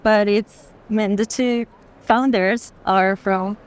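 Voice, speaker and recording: A woman's voice: mid-range voice, fairly even intonation, slow pace. Woman thoughtfully explaining art on a walk. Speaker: art curator guiding a walk through a fair. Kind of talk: unscripted walking conversation about art. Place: busy art fair hall, walking.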